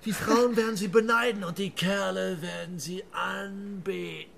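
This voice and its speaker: An actor playing a boastful cowboy father in a radio play, excited and dreaming big. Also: sits high, unhurried, gravelly, a little hiss or hum, full character voice.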